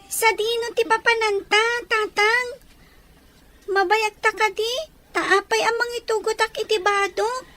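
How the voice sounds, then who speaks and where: A woman's voice: very high voice, steady tone, unhurried, bright, forceful tone, soft background music, exaggerated voice. A woman voicing an anxious young girl who pleads with her father in a radio drama. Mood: uneasy. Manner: pleading, tearful.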